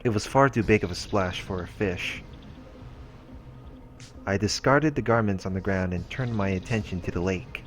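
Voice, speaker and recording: Low-voiced man reading game narration. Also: moderate pace, long pauses. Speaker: let's play host reading a visual novel aloud. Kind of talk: reading game narration aloud with casual asides. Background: game music.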